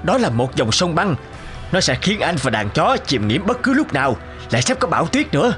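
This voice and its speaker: An actor voicing a worried, cautious courier in a cartoon, alarmed and exclamatory. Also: male voice, fairly high pitch, sing-song, fairly quick, fairly bright tone, faint music behind. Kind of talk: animated cartoon dubbing.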